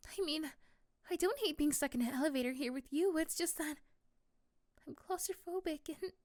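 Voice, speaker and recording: Woman playing a high-pitched nervous sweetheart, soft, hesitant, romantic ASMR roleplay, close dry sound. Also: moderate pace, no room sound, natural voice. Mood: uneasy, confiding. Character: shy, nervous claustrophobic young woman in love.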